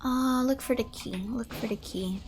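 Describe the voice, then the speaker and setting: A young adult woman doing casual gameplay commentary as a streamer, reacting aloud in an expressive, emotional way. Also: sits high, normal speed. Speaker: game streamer. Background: game music.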